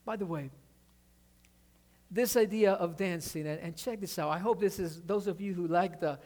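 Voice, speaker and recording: A man's voice: high voice, normal speed. A man whose first language is Spanish, preaching a sermon with energy, often restarting words and asking for an amen. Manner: animated preaching, repeats words, asks amen. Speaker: pastor.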